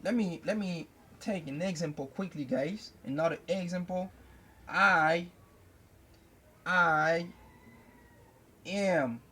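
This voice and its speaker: A young man teaching an English lesson at a whiteboard, slowly repeating each phrase several times. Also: high voice, bright, forceful tone, breathy edge. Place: indoors at a whiteboard. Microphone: camera close by.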